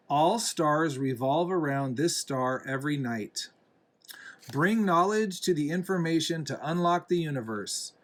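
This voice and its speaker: A man, a father, reading a picture book aloud to a young child in a deliberate, gently explaining, instructive way. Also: fairly high pitch. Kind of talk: read-aloud storybook narration with asides to a young child.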